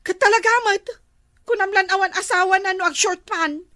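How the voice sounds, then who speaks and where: Comedy drama wife played by a woman: very high, bright voice, exclamatory and nagging, jealous streak. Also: big pitch swings, slow pace, no echo, clean studio sound, exaggerated voice. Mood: jealous, indignant. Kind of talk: Ilocano barangay comedy drama acting.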